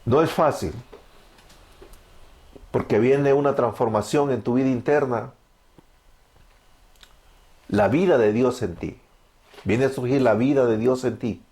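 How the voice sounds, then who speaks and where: A man's voice: medium pitch, measured pace, long pauses, darkish tone. A man in his thirties from El Salvador, with a Salvadoran accent, preaching his testimony fervently and answering his own questions. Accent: Salvadoran Spanish. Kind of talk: evangelical testimony sermon.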